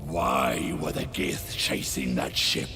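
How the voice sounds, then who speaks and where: An actor playing a brutish, impatient hobgoblin war leader in a video game, with a gravelly growl and rough, blunt, commanding speech. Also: male voice, very deep voice, slow, over music, exaggerated voice. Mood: gruff, suspicious. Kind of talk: video game character acting.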